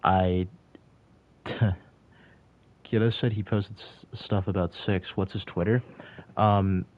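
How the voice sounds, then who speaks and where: A young man of about twenty talks loosely to his livestream chat. He rambles, filling his speech with "like" and starting sentences over. His voice sits very low and comes through thin and phone-like. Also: fairly even intonation, slow pace. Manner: rambling, heavy on "like" and restarts. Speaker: streamer answering chat. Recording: tinny phone-like audio.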